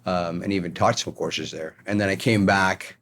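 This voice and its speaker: A man's voice: low-pitched, steady tone, normal speed, fairly bright tone, a little hiss or hum. A middle-aged American man telling stories from his career as a guest in a conversational interview. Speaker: podcast guest, retired army colonel.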